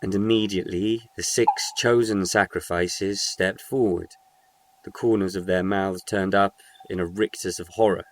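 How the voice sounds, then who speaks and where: Male narrator, low pitch, scripted short story reading, faint noise behind. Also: steady tone, moderate pace.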